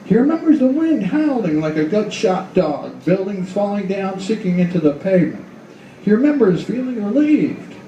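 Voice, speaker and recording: High-pitched, mellow male noir narrator, echoey. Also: big pitch swings, moderate pace, lo-fi sound. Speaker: narrator, author reading his story. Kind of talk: scripted noir radio-play narration. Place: live reading in a roomy venue. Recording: echoey room, distant mic, faint noise.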